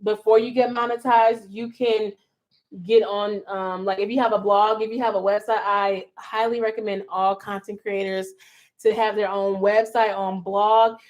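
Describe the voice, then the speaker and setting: A woman hosts a relaxed podcast conversation, reading out listener questions in a playful, easygoing way. She sits at a middling pitch and sprinkles her talk with "um" and "you know". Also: normal speed. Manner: playful, reads listener questions, frequent "um" fillers. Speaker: podcast host. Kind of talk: casual podcast chat.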